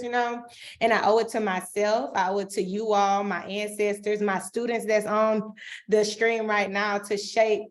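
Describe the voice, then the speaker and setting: A woman doctoral student giving emphatic panel remarks, often tagging points with "right?". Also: medium pitch. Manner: emphatic, rhetorical, repeats "right?".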